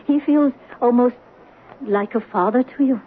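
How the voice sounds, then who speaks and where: An actress playing a kind, widowed mother in an old radio drama, gentle in manner with nervous little laughs. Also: on the high side, unhurried, narrow, tinny audio, lightly acted. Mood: gentle, anxious. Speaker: Celia, a mother. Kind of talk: 1970s radio drama acting. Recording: old radio broadcast, phone-thin with hiss.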